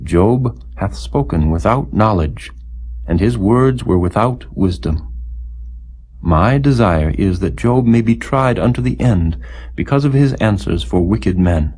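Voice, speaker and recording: Male scripture narrator: dark, raspy timbre, solemn and declamatory audiobook reading. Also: lowish voice, animated, unhurried. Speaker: audiobook Bible reader.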